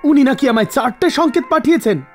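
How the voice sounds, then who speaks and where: An actor voicing a stubborn old mortal man in an animated mythological story, arguing insistently with the gods. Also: high voice, fast, faint music behind. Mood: indignant. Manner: argumentative, accusing, insistent.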